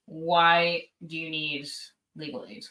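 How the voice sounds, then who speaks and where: A woman's voice: sits low, flat delivery, slow pace, pushed and bright, reverberant, distant mic, poor audio. A woman with an East Coast Canadian accent, chatting casually and poking fun at herself as a stream co-host. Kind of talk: casual chat.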